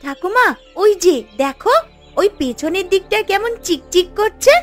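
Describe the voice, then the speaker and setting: A woman's voice: extremely high pitch, animated, unhurried, soft background music. A woman voicing an honest, wide-eyed little village granddaughter in a fairy-tale cartoon, exclaiming and questioning earnestly. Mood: amazed.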